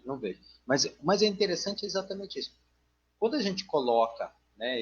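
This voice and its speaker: A man's voice: medium pitch, fairly bright tone, breathy edge. A Brazilian man, a teacher, talking casually and digressively in a live online discussion, often adding tag questions. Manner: digressive, frequent "né" tags, joking asides. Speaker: teacher co-hosting a live stream. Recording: dull online call audio.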